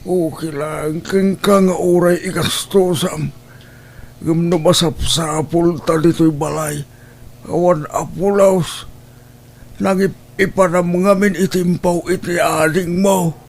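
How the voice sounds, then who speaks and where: An actor playing an ailing, long-suffering old father in an Ilocano audio drama, plaintive and gently reproachful, with an Ilocano accent. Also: fairly high pitch, fairly even intonation, slow, creaky, full character voice. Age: older.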